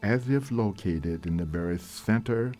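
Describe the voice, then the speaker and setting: A man leading a guided meditation in a gentle, deliberate, measured way, leaving long pauses between short phrases. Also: on the low side. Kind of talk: slow guided meditation narration. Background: soft meditation music.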